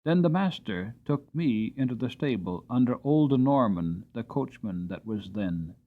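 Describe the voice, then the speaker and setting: A man's voice: mid-range voice, animated, unhurried. A man voicing a kind, hardworking coachman who talks plainly and earnestly, on a tinny narrowband recording.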